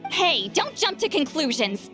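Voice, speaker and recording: A haughty shaman from a video game. Her voice is high and swings dramatically, exasperated and boastful, with faint music behind her. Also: big pitch swings, a little slow, pushed and bright, heavily acted. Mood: haughty, defensive. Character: haughty, tsundere female shaman who seems ageless.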